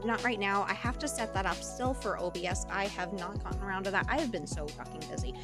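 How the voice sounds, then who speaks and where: A woman streamer chatting casually with her live chat, telling stories in an animated way and laughing often. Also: medium pitch, quick pace, music in the background. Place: home streaming setup.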